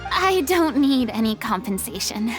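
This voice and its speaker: High-voiced, theatrical young stage diva. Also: female voice, big pitch swings, measured pace, a bit bright, faint music behind. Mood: reflective, earnest. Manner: grandiloquent, dramatic, formal diction. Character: theatrical, proud young female former stage star. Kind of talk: video game voice acting.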